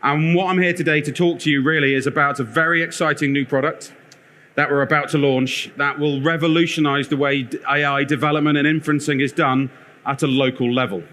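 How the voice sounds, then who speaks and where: A man giving a lively conference product talk with a loud, energetic, self-deprecating manner as he wanders the stage. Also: a little high, a little fast, a little hiss or hum. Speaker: conference speaker, product lead. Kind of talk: live conference presentation. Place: conference theatre.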